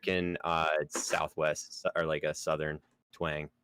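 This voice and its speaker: Deep, rambling young-sounding man. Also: little pitch movement, normal speed, a bit bright, clear, resonant tone, dry recording, clean studio sound. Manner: rambling, lots of likes and ums. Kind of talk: casual group chat.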